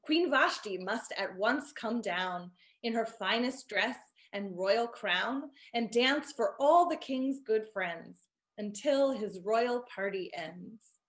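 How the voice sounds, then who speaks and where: A woman's voice: a little high, lively intonation, takes it slowly. A woman voicing a formal male royal messenger in a children's storybook, proclaiming orders. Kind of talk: children's storybook character reading.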